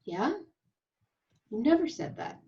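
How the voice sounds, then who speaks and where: A woman's voice: a little high, wildly swooping pitch, slow pace, dark tone, heavily acted. A woman playing a wry actress from a sci-fi series in an amateur table read, on a slightly dull lo-fi recording. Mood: wry, guarded.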